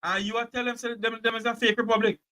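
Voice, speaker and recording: A man's voice: very high voice, level tone, quick pace, bright, forceful tone. A man hosting a call-in talk show, pressing a caller hard and repeatedly cutting in with "sir.". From Jamaica. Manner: insistent, interrupting, repeats "sir". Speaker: radio call-in show host.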